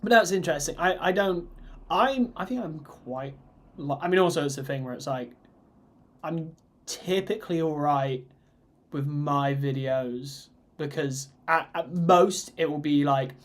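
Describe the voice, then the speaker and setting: A man co-hosting a casual chat, talking in a relaxed, rambling way. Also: a little high, measured pace. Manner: relaxed, rambling, with restarts and laughs. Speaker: co-host.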